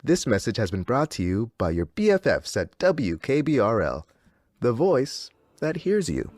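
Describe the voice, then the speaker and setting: An eerily happy radio DJ, soothing and theatrical like a cult host. The medium-pitched voice swings widely up and down, recorded dry and close. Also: male voice, very animated, normal speed, a little mellow, no echo. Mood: friendly, menacing. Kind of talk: scripted game radio patter.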